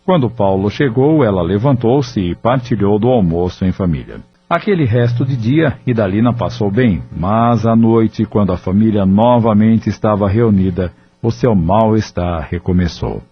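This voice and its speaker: A man narrating an audio drama in a measured, even storytelling delivery. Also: on the low side, husky. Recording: dull lo-fi audio with soft music.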